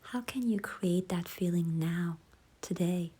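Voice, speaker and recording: A woman leading a guided visualization in a soft, hushed, breathy voice, gentle, unhurried and soothing. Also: fairly low pitch. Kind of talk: slow guided meditation narration.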